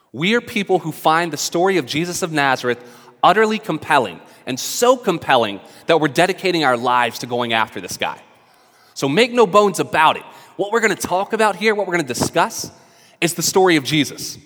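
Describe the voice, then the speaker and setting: A man from North Carolina with a Southern accent, preaching casually to his church while raspy from a cold. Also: on the high side, sing-song, a little fast, fairly bright tone, long reverb tail. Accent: Southern American. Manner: casual, conversational preaching with frequent restarts. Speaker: pastor. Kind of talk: sermon. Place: church service in a hall.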